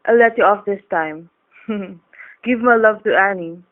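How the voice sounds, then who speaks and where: A man's voice: high voice, measured pace, slight background noise. A breezy young patrolman played by a man in a radio drama. His manner is familiar and friendly. The sound is thin and phone-like. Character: friendly young male motorcycle policeman. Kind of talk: radio play acting. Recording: tinny narrow-band radio recording.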